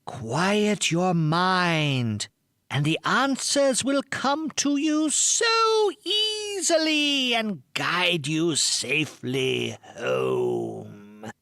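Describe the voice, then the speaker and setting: A man voicing a gentle, wise old lady of the forest who gives soothing counsel in an audiobook. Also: sits high, big pitch swings, vocal fry, no room sound, clean studio sound, exaggerated voice. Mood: soothing, mystical. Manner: soothing, measured, drawn-out counsel. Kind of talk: audiobook character voice.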